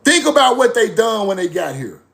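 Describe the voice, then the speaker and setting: A man's voice: high voice, sing-song, moderate pace, gravelly, a bit bright. A man giving a fiery informal lecture, blunt, repetitive and profane.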